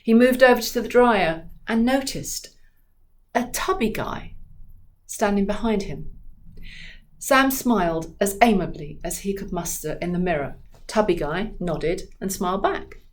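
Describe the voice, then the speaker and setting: Female author-narrator, medium pitch, unhurried and dramatic, audiobook-style reading. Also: slight background noise. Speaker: author reading from own book.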